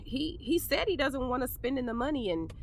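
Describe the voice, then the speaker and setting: A woman playing a caring but firm middle-aged mother in an audio drama, speaking in a measured, admonishing, motherly way. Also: sits high, very animated, slight background noise. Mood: resigned. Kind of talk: naturalistic audio drama dialogue.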